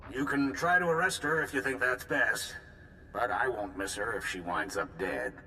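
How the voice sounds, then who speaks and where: A man's voice: mid-range voice, moderate pace, bright, forceful tone, a little hiss or hum, low-quality recording. An actor playing a blunt male supreme military commander in a video game, commanding in tone.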